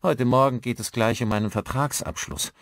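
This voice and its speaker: A man voicing a brisk, coolly polite wife in an audiobook. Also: medium pitch, no echo, clean studio sound, pitched above the performer's own voice, natural voice.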